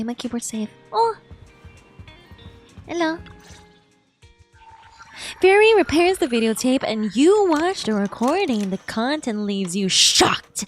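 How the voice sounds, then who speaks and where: A high-voiced woman game streamer with very lively intonation. She is playful and expressive, switching between reading game text aloud and reacting, with soft music behind her. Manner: playful, expressive, cutesy exclamations.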